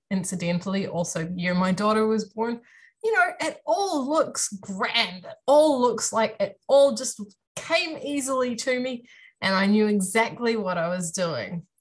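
A woman with a New Zealand accent speaks over a webcam from a room at home. She is a university lecturer telling students about her career. Her delivery is friendly, lively and self-deprecating. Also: mid-range voice. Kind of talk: online presentation to students. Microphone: webcam on a video call.

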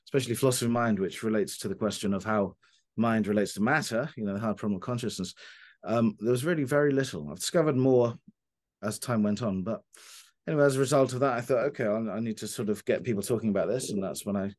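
Low-voiced man, halting and thoughtful. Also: a little mellow. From Cornwall, England. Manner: halting, many fillers and restarts. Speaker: interview guest, philosopher. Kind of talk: casual video call interview. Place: home study lined with books. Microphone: video call webcam.

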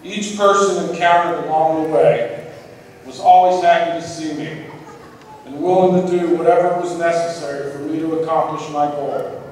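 An older man delivers an earnest commencement speech in a plain, straightforward way. His voice sits a little high and carries through a large hall with strong reverb. The podium mic picks him up from some distance, with a faint hiss underneath. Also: darkish tone. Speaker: student speaker. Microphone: podium microphone.

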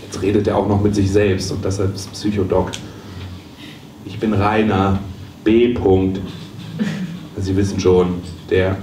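A man playing an insistent, boozy politician who talks in halting short phrases in a live comedy radio play. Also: deep voice, level tone, warm tone. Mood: exasperated, secretive. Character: insistent male politician, boozy old acquaintance. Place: small dark theatre stage, live. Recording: distant, echoey, noisy stage recording.

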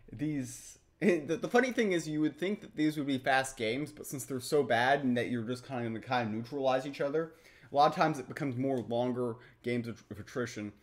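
A man's voice: medium pitch, normal speed. A young man giving casual, explanatory game commentary in a rambling, matter-of-fact way. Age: young adult. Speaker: gaming video host. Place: small home room, indoors.

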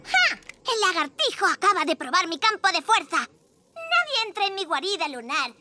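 Bratty gloating moon villain girl, super high, swoopy, cartoon. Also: sing-song, moderate pace, heavy background noise. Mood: possessive, gloating. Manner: taunting, possessive, theatrical. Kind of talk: children's cartoon dub.

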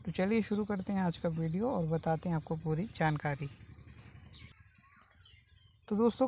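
Male host, mellow warm tone, steady explanatory voice-over, faint background noise. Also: on the high side. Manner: steady, explanatory, addresses viewers as friends. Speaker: host of a job-vacancy channel. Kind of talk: informal informational voice-over. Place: voice-over over a phone screen recording.

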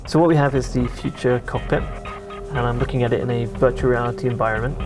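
A man explaining cockpit technology in a very flat, even tone at middle pitch. Music plays clearly underneath him. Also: fast. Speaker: engineer demonstrating. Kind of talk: technical demonstration.

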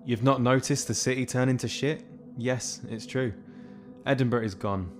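A man's voice: mid-range voice, moderate pace, soft background music, natural voice. A young man playing a weary, dryly sarcastic soldier rescuing survivors in an audio drama. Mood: urgent, bitter. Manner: dry, sarcastic.

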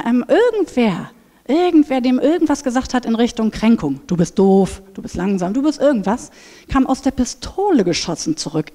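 A woman in her thirties on a headset mic, giving a lively conference talk and acting out inner voices. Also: a little high. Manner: animated, acts out inner voices. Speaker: speaker at a conference. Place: large brick hall with seated audience. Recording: reverberant hall.

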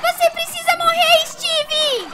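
A woman's voice: very high voice, flat delivery, slow pace, sharp tone, full character voice. A woman voicing a small, whiny, exasperated pig in a cartoon fan dub. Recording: noisy cartoon dub audio.

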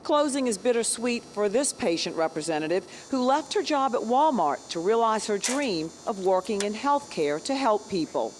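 A woman reporting live for a local TV news station, her delivery polished and measured. She speaks into a handheld mic inside a large convention hall, with faint ambient noise behind her. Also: on the high side, lively intonation.

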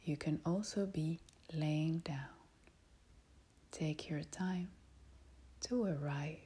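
A woman guides a meditation at a very slow pace, leaving long pauses between short phrases. Her voice is extremely breathy and half-whispered, sitting a little low. She sounds calm and soothing, like an instructor easing listeners into relaxation.

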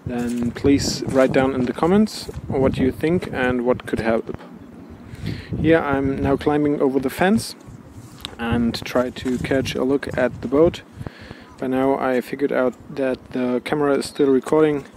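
A young man vlogging outdoors by a harbor into a handheld camera, explaining his model boat slowly and searching for words. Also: mid-range voice. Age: young adult. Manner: slow, searching for words, non-native phrasing. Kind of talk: casual vlog explaining to camera. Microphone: action camera with furry windscreen mic held close. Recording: outdoor wind and traffic noise.

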